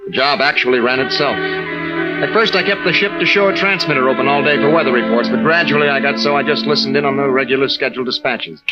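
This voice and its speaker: A man's voice: mid-range voice, fast talker, natural voice. A man playing an anxious young lighthouse keeper in old-time radio style, hesitant and stammering when nervous. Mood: wistful, calm. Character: anxious young husband, new lighthouse keeper, hiding fear. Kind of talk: old-time radio acting and first-person narration. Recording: dull vintage radio recording, loud music.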